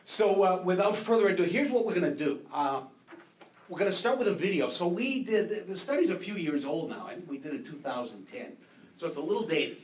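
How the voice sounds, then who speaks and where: A man's voice: high-pitched, animated, fairly quick. New Hampshire man presenting in a hall. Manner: chatty, self-deprecating, frequent fillers and repeats. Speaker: conference presenter, market researcher. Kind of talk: casual conference talk. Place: conference hall. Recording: echoey hall, distant mic.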